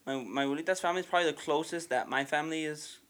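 Fast, bright-voiced young man. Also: on the high side. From Southern California. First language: Spanish. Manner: easygoing, with lots of "like," "right," and repeated starts. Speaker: podcast guest. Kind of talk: casual chat.

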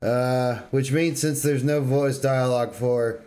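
Mid-pitched man in casual podcast banter. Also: steady tone, a little slow, a little mellow, clean tone. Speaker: podcast co-host. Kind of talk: casual banter about video games.

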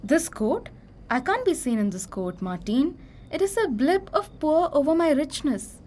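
Young woman doing an anxious vain young wife, high voice, dramatic and plaintive, student radio play. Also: sing-song, normal speed, darkish tone, slight background noise. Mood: haughty, complaining. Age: young adult.

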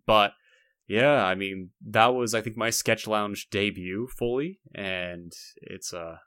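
A young man with a fairly low voice talks casually on a podcast. He rambles a little, restarting and leaning on "like". The sound is dry, with no room on it. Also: slow, fairly bright tone, clear, resonant tone, no echo. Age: young adult. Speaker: podcast co-host.

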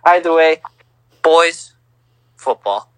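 A young man co-hosting a casual sports podcast, teasing and lively, on a home mic. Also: fairly high pitch, slow, clear, resonant tone, no echo. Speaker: podcast co-host.

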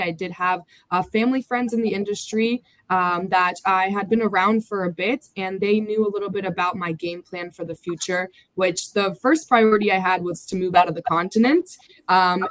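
A woman's voice: mid-range voice, no room sound, rough recording. An American woman guest, a bit under the weather, talking casually and chattily in an interview over a remote call. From United States. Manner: chatty, upbeat, talkative. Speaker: podcast guest.

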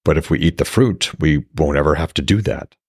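Low-voiced, earnest warrior played by a man. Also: a little fast, husky, no room sound, studio quality, heavily acted. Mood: pleading. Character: devoted blind warrior god, long-lived, troubled lover. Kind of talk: fantasy audiobook character dialogue.

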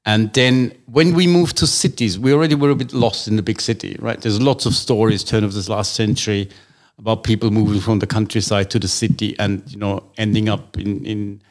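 A man's voice: on the low side, steady tone, a little fast. A man from Austria in a casual discussion, thinking aloud at length with frequent restarts.

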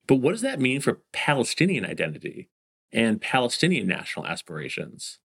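A man's voice: medium pitch, wildly swooping pitch, brisk, vocal fry, fairly bright tone, slightly rough. A man hosting and narrating from a script, posing framing questions. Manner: poses framing questions to the listener. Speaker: host.

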